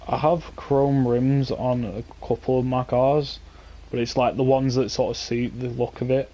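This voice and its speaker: A man's voice: medium pitch, little pitch movement, a little slow, warm tone. A young man with an English accent, chatting casually and offhandedly with viewers while hosting a game stream. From England. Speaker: streamer hosting a car meet. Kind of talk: casual gaming stream chat.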